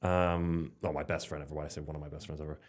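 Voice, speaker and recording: A man's voice: a bass voice, flat delivery, quick pace. A young man from Slovenia chatting casually as a podcast guest, rambling with lots of fillers and restarts. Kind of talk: casual conversation.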